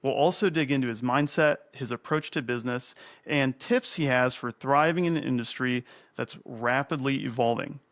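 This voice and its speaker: A man's voice: mid-range voice, normal speed, hardly any pauses, a bit bright. A man in his thirties hosting a podcast from a home studio on a broadcast mic, reading a scripted introduction and then chatting in a friendly, upbeat way. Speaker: podcast host. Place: home studio with shelves, indoors. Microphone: broadcast mic on arm.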